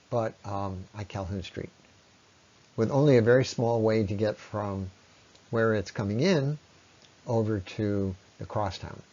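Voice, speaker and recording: A man narrating an informal slide presentation in an explanatory way, with halting pauses. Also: mid-range voice, mellow, muffled recording. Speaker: presenter on local ecology.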